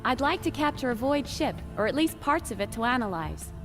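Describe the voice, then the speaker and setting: A woman's voice: on the high side, sing-song, a little fast, over music. A woman playing a formal, determined head scientist in a video game. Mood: composed, businesslike. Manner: formal, composed, businesslike. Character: poised woman scientist heading a research lab, formal, determined. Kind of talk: scripted video game voice acting.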